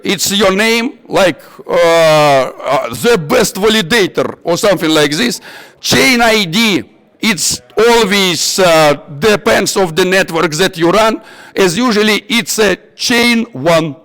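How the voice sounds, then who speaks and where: A man with a non-native English accent giving an informal technical workshop, halting and repeating himself as he searches for words. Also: sits high, expressive, fairly bright tone. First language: not English. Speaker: workshop instructor. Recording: echoey hall with long reverb.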